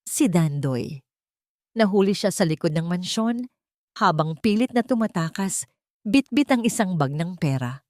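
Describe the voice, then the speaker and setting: A woman storyteller giving a measured, dramatic narration of a scripted story. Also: lowish voice, lively intonation, a little mellow, no room sound, clean studio sound.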